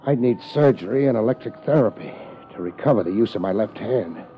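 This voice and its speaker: An actor playing a tough, unflappable American private investigator with a terse, hard-boiled manner in a 1950s radio drama, on a muffled, noisy old recording. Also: male voice, lowish voice, expressive, a little fast, natural voice. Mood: grave narration. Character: tough, unflappable male private investigator narrating his case.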